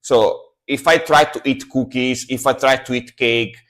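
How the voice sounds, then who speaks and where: A man's voice: mid-range voice, fairly even intonation, normal speed, fairly bright tone. Italian man chatting casually on a livestream. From Italy. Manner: rambling, repeats phrases. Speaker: livestream host.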